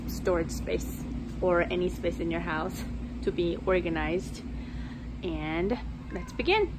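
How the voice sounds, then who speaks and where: A woman in her thirties chats informally through a home vlog in an unhurried way. Her voice sits on the low side for a woman. The handheld recording in an unfinished basement carries clear background noise. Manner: informal, conversational. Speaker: family vlogger. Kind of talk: casual home tour vlog narration. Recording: clearly noisy handheld camera audio.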